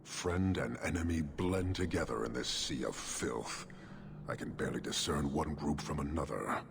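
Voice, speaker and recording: Casting: imposing foreign commander, bottomless creaky bass, cold, formal and measured, for a fantasy game. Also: male voice, background noise. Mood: cold disdain. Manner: terse, formal, measured, disdainful. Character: stern, imposing horned warrior commander of a foreign creed. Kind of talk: video game cutscene acting.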